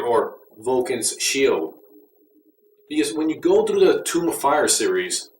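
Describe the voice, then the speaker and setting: A man giving a casual, conversational and mildly critical review as an audio drama reviewer. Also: mid-range voice. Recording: roomy echo, distant mic, faint noise.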